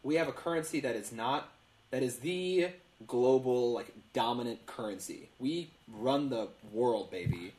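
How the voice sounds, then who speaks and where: A man co-hosting a comedy news podcast, explaining things casually with pauses in mid-phrase. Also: medium pitch, animated, a little mellow, poor audio. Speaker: podcast co-host.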